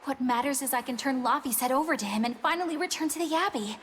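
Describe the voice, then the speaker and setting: High-voiced prim, serious young woman. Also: quick pace, fairly bright tone, slight background noise. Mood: earnest, disapproving. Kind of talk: English anime game dub.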